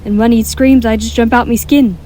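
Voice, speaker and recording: An actress playing a feisty, superstitious household maid in a radio drama, colloquial and exclamatory. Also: female voice, fairly high pitch, steady tone, brisk, dark tone, a little hiss or hum, close to an everyday voice. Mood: scolding, uneasy.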